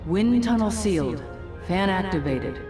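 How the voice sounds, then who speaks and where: A man's voice: high-pitched, animated, a little slow. An actor voicing an impersonal male automated facility announcer, giving formal safety announcements in a video game over music. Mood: impersonal, urgent.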